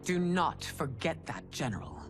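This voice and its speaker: An actress playing a stern, regal empress in a video game cutscene, with formal, measured, commanding diction. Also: female voice, low-pitched, lively intonation, music in the background. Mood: firm authority.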